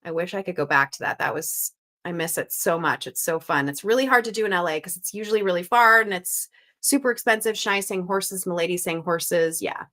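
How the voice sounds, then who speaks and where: Woman stream host from Canada: bright, crisp tone, easy chatter, reads guesses aloud, upbeat. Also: on the low side, fairly quick. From Canada (Montreal). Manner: upbeat, chatty, thinks out loud. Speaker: host of a live crossword stream. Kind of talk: casual live-stream chat, reading viewer guesses aloud.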